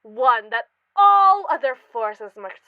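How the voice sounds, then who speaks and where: A woman's voice: high-pitched, very animated, takes it slowly, clear, resonant tone, no echo, narrow, tinny audio, full character voice. A woman playing a pompous, callous space mogul in a scripted, theatrical ASMR roleplay monologue, condescending to the colonists beneath her. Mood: grandiose. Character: haughty, callous female space mogul lording over colonists.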